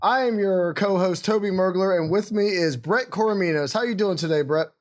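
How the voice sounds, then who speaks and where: A man in his thirties or forties co-hosting a casual sports podcast, talking in an energetic, emphatic way. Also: high voice, fairly quick. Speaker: podcast co-host.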